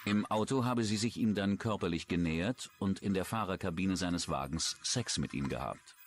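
A man's voice: low-pitched, normal speed, slight background noise. A man reading scripted true-crime narration as a documentary narrator.

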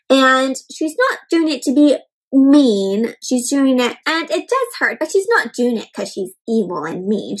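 A young woman reading a storybook aloud to children in an expressive, explanatory way. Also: a little high, slightly rough, rough recording. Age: young adult. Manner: expressive, explanatory, asks the listener questions. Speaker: host of a children's read-aloud show. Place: indoor room at home.

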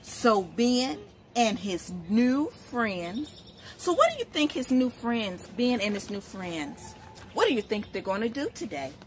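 Slow, lilting storytime woman, fairly high voice. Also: slight background noise. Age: middle-aged. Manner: expressive, asking questions as if to small children. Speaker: storytime host, literacy educator. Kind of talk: read-aloud picture book storytime for young children. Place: outdoors, in front of leafy shrubs.

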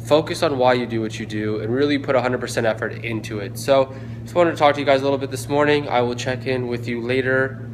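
A man's voice: medium pitch, quick pace, noisy background. Young man vlogging, phone at arm's length. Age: young adult. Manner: relaxed, chatty, motivational. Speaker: fitness vlogger. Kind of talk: casual vlog talking to camera. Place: condo gym, then home kitchen. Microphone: phone camera at arm's length.

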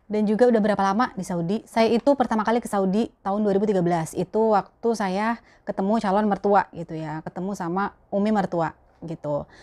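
A young woman from Jakarta speaks with a Betawi accent in an everyday mid-pitched voice. She chats colloquially to her viewers, answering their questions in a casual vlog style. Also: steady tone, a little fast, mellow. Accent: Betawi Jakarta Indonesian. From Jakarta, Indonesia. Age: young adult. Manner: chatty, colloquial. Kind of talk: casual chat to camera. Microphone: clip-on lapel mic.

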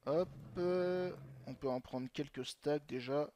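A man's voice: on the high side, takes it slowly, warm tone. A young man commenting on his gameplay in a chatty way, swearing often and talking to himself. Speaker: gaming channel host.

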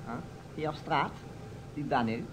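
A man's voice: on the high side, a little slow, lightly acted. A man voicing an arrogant, curt newspaper editor in a Dutch radio play. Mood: haughty. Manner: arrogant, clipped. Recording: old radio recording, faint noise.

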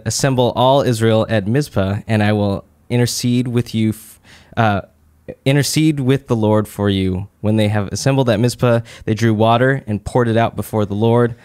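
A young man with a fairly low, smooth and clear voice talks through a Bible teaching in a relaxed, chatty way, full of "uh" and "like." He sits at a studio mic on a boom arm in a small office room. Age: young adult. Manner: relaxed, conversational, many "uh" and "like" fillers. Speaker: church teaching podcast speaker. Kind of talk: casual Bible teaching talk.

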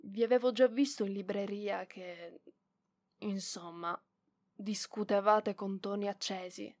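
Una donna che interpreta una giovane bibliotecaria orgogliosa e impacciata, scontrosa ma tenera, esitante e indignata, in un roleplay ASMR. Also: female voice, medium pitch, fairly even intonation. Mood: subdued, apologetic. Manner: hesitant, indignant, halting with sighs. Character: proud, flustered young female librarian, prickly but softening. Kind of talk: ASMR roleplay voice acting, one side of dialogue.

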